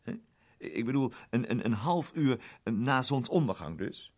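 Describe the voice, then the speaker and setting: A man's voice: mid-range voice, expressive, unhurried, pitched above the performer's own voice. A man voicing a cheerful, methodical male salesman turned amateur detective who likes quoting mottos. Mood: precise. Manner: precise, fond of quoting mottos. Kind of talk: radio play reading.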